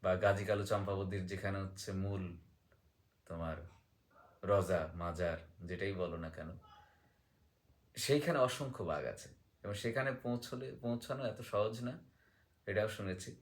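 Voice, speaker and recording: A man telling folk tales in a conversational way, pausing often with fillers and small check-in questions. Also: low-pitched.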